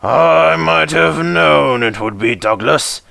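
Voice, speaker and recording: A man voicing a stern, pompous railway controller with slow, weighty disapproval in a children's story. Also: on the high side, creaky, pushed and bright, no echo, voice pushed up, exaggerated voice.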